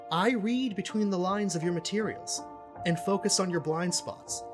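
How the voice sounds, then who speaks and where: A theatrical, self-important cult expert played by an actor holds forth in an audio drama. The delivery is pompous and full of deliberate pauses, with pitch that swings widely. Music plays clearly underneath. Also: close to an everyday voice. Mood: businesslike, self-assured.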